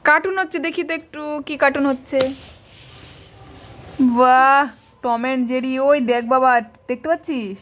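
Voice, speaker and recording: A woman's voice: high voice, steady tone, moderate pace, long pauses, fairly bright tone. A woman chatting casually with a small child and with viewers, playful and indulgent, often echoing the child's words back. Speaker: family vlogger filming a child at play.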